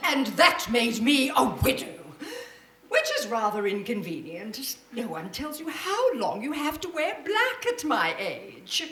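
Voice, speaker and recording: A woman's voice: a little high, very animated, a little slow, fairly bright tone, slight background noise. A woman playing a fawning, pettily indignant married daughter in a comic radio play. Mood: put-out. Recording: roomy theatre stage sound.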